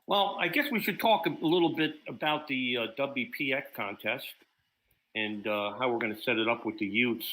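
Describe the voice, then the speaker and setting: A man's voice: medium pitch, normal speed, fairly bright tone, rough recording. A man hosting an unscripted livestream roundtable, explaining things and circling back to restate his points. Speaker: host of an amateur radio contesting roundtable.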